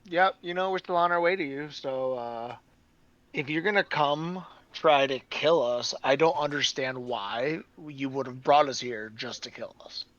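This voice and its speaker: A man's voice: mid-range voice, unhurried, fairly bright tone, dry recording. A man playing a tabletop role-playing game, teasing and joking with the table. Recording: dull lo-fi sound.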